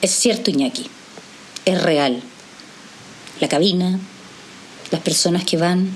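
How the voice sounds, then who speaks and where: A woman's voice: lowish voice, slight background noise. A woman playing a guarded, direct, caring professional in an audio drama. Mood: tender, cautious. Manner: direct, measured.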